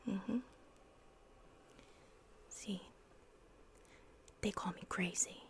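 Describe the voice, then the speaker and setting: Paranoid female asylum patient, played by a woman, horror ASMR roleplay: hushed, conspiratorial, obsessive repetition, narrow flat pitch. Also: gravelly. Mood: secretive, eerie. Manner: repeats words obsessively, halting, conspiratorial.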